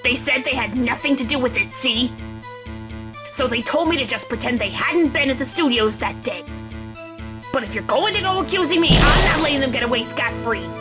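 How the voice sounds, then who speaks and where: A woman's voice: high voice, fairly quick, natural voice. A woman voicing a cranky, combative old woman who rants indignantly in an amateur fan dub. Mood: defensive. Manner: ranting, indignant, stammering. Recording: loud music behind the voice.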